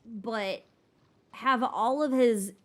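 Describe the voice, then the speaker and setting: A woman's voice: fairly high pitch, drawn-out words. A woman hosting a livestream, answering viewer questions in a casual, opinionated way as she thinks aloud, with informal, emphatic phrasing. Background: background music.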